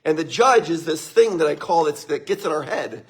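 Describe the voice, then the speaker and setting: Upbeat middle-aged male motivational speaker, husky and fairly high for a man, live-stream feel. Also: animated, moderate pace. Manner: upbeat, greets viewers by name, laughs often.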